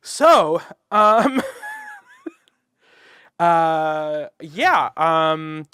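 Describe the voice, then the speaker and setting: A man's voice: fairly high pitch, animated, drawn-out words, pauses often, sharp tone, smooth, dry recording. Brief: male podcast host, casual and giggly, dry home recording. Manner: laughing, trails into filler words. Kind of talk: casual chat. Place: home room, video call.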